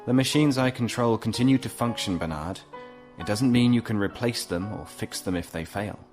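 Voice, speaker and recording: A man acts out a brilliant, self-satisfied inventor who will not be rattled by his captors. His low voice drawls with suave, measured condescension. It is science fiction radio play acting, with faint music underneath. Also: a little mellow, natural voice. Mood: smug, commanding. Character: smug, unflappable male genius inventor held prisoner.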